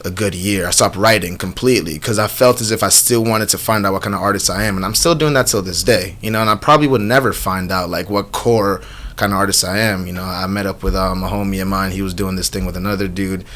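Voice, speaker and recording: A young man from Jamaica with a low voice chats in a radio studio interview. He tells stories in loose, animated slang, often checking that the listener follows. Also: fast. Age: 20s. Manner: slangy, animated storytelling with frequent "you know what I'm saying". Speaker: rapper being interviewed. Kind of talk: casual radio interview answers. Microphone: studio microphone.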